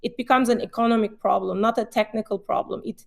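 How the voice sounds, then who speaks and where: A woman's voice: on the high side, fairly even intonation, quick pace, vocal fry, a little mellow. A woman in her forties from Turkey with a Turkish accent, a company CEO explaining her views in a webinar panel with frequent "uh" fillers.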